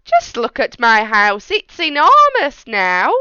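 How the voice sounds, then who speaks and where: A woman's voice: a little high, wildly swooping pitch, takes it slowly, pushed and bright, smooth, dry recording, dull audio, full character voice. A woman voicing a fretful little old lady from a storybook, exclaiming and pleading theatrically. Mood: relieved.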